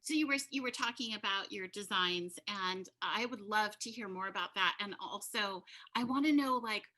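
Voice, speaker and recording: Bright, gushing woman host. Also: medium pitch, moderate pace. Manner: effusive, gushing compliments. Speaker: podcast host. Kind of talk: casual interview chat.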